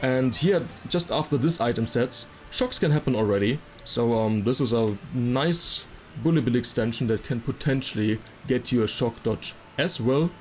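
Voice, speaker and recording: Casting: male game guide narrator, medium pitch, casual and explanatory, music underneath. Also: normal speed. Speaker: video game guide creator. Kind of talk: explanatory gameplay commentary.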